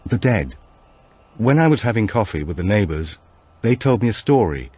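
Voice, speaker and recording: Man playing quiet, hesitant widower, low-pitched. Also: sing-song, moderate pace, husky, no echo. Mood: hesitant, curious. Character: subdued grieving husband, hesitant and polite.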